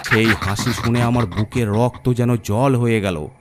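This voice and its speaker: A man's voice: medium pitch, unhurried, heavy background noise. A man narrating a first-person horror story in a theatrical, suspenseful way. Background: music.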